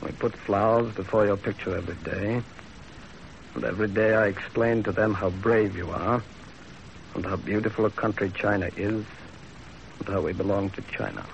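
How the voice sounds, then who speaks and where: An actor playing a commanding, probing Chinese general in a 1930s radio drama, speaking with deliberate authority. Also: male voice, on the low side, fairly even intonation, creaky. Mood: sober, deliberate. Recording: muffled, hissy 1930s radio recording.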